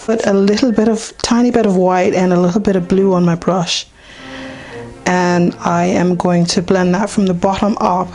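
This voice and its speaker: A woman with a hoarse, partly lost voice, casually talking a beginner through a painting step by step, unhurried and with many ums. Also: fairly low pitch, steady tone, a little mellow, soft background music, poor audio. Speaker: painting teacher.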